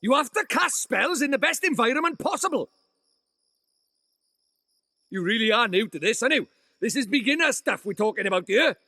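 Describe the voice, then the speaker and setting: An actor voicing a small, cheeky, bossy fairy sidekick with a Welsh accent in a fantasy game. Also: male voice, very high voice, expressive, normal speed, long pauses, sharp tone, no room sound, clean studio sound, heavily acted. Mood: lecturing. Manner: brash, teasing, colloquial, theatrical. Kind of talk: animated fantasy game voice acting.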